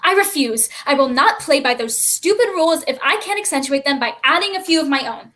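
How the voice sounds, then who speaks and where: A woman's voice: high voice, expressive, brisk, sharp tone. A woman playing a needy, defiant, restless child in a staged play reading.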